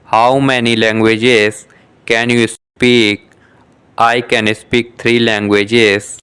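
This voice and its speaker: Male voice for a beginner English lesson: unhurried, crisp, careful, even tone. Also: mid-range voice. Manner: reads short question-and-answer exchanges, each said twice; measured, carefully articulated delivery. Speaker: English lesson dialogue reader. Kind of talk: scripted beginner dialogue for shadowing practice.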